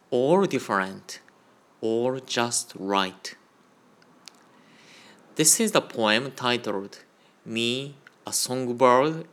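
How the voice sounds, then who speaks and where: A man giving a Buddhist Dharma talk on a radio program, in a slow, measured and careful delivery. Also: medium pitch, fairly bright tone. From Japan. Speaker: Buddhist minister.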